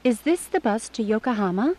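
A woman's voice: fairly high pitch, expressive, a little fast, darkish tone. A woman playing a polite, inquisitive traveler in a scripted language lesson dialogue. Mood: polite, curious. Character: polite, inquisitive female traveler at a bus stop.

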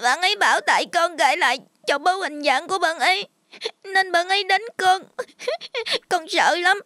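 Audio horror story: a woman doing a panicked little boy, very high, shrill and distant-sounding, screaming in fear. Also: moderate pace, sharp tone, dry recording. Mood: frightened. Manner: shrieking, sobbing cries. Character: terrified possessed little boy screaming for help.

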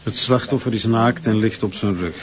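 An actor with a Flemish accent playing a calm coroner who reports facts clinically in a radio play. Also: male voice, lowish voice, normal speed, music in the background, natural voice. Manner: clinical, factual. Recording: dull lo-fi radio recording with people around.

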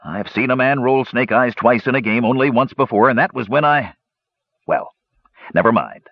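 A man's voice: mid-range voice, moderate pace, no room sound, highs cut off, pitched above the performer's own voice, natural voice. A man voicing a sheepish, wheedling dwarf gambler in a fantasy audiobook, confessing with hedges and sighs. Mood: wistful, rueful. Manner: hesitant, hedging, sighing confessions with folksy turns.

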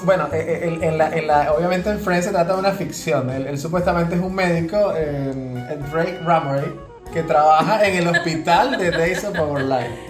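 A man's voice: a little high, normal speed. Venezuelan man explaining, roomy sound with music. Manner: explanatory, hesitant, often repeats words. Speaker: podcast co-host. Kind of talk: casual conversational commentary. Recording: roomy echo, music behind.

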